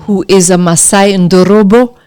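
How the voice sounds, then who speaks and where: A woman, speaking as an interview guest and safari guide, telling stories lively enthusiasm. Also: mid-range voice, normal speed, smooth. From Tanzania. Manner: enthusiastic, animated storytelling. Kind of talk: casual interview talk.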